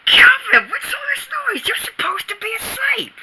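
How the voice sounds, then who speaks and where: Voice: mid-range voice, wildly swooping pitch, a little slow, very noisy. A performer voicing an exasperated middle-aged father.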